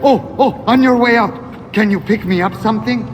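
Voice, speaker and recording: An actor playing an eccentric, wisecracking seer in a comic video game style, teasing and offhand. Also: male voice, high voice, moderate pace, music in the background, close to an everyday voice. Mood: playful.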